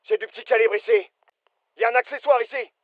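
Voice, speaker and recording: Casting: alert game soldier, very high-pitched, clipped urgent commands, dry muffled recording. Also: male voice, fast talker, no room sound. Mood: businesslike. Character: British fireteam soldier, alert, businesslike adult man. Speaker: voice actor, French dub. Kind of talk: military shooter game callouts.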